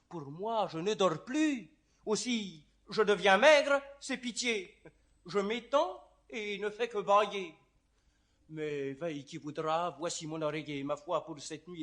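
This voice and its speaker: An actor playing a talkative, sly, grumbling servant in a classical French verse comedy, theatrical and declamatory. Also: male voice, sits high, a little slow, fairly bright tone. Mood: weary, grumbling. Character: comic talkative male servant doorkeeper, sly, grumbling, sleepy.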